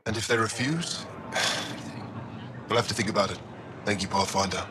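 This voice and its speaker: A man's voice: lowish voice, normal speed, noisy background, close to an everyday voice. A man playing a proud, earnest alien engineer in a video game, speaking formally. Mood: earnest, reserved. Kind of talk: video game dialogue acting.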